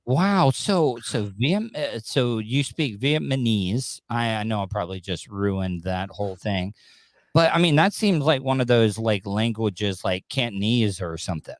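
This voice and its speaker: A man's voice: lowish voice, animated, a little slow, dry recording. A man hosting a casual podcast, talking loosely with restarts and fillers, sweaty and coming down with a sinus infection. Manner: rambling, restarts and fillers, joking. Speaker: podcast host. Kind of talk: casual livestream chat.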